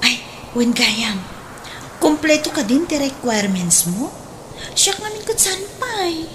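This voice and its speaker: A woman's voice: sits high, sing-song, measured pace, fairly bright tone, slight background noise, exaggerated voice. A woman acting a hopeful, patient young overseas worker in an Ilocano radio drama, with an Ilocano accent. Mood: eager.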